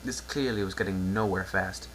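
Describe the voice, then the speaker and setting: A man's voice: low-pitched, normal speed, fairly bright tone, rough recording. Male story narrator, faint hum behind. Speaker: narrator, amateur reader. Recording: faint background noise and hum.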